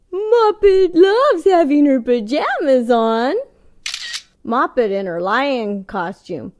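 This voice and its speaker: A doting dog owner from an audio play, acted by a woman, cooing proudly over a dressed-up pet. The voice is fairly high and very lilting, with a slow, cutesy singsong. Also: a little mellow, heavily acted. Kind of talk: audio play, reading social media posts.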